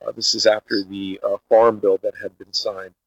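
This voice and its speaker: A man who grew up in Brazil with Portuguese as his first language, talking as a podcast guest and pausing on many filler sounds. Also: on the low side, little pitch movement. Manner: hesitant, many filler uhs. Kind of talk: casual interview talk. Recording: dull, dry lo-fi sound.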